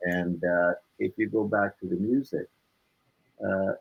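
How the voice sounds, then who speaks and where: A man's voice: sits low, little pitch movement, a little slow, creaky, phone-quality sound, a little hiss or hum. A man speaking as a musician guest in a casual live interview, with informal, laid-back phrasing.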